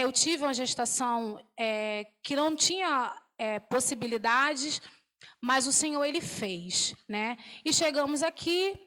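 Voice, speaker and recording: A woman in her thirties, originally from Salvador, gives her testimony in a high, bright voice. She speaks with emotional fervor and a preaching lilt. She often checks in with a little "né?". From Salvador, Bahia, Brazil. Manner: emotional, fervent preaching tone with frequent "né?". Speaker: guest giving a testimony. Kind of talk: church testimony on a TV program.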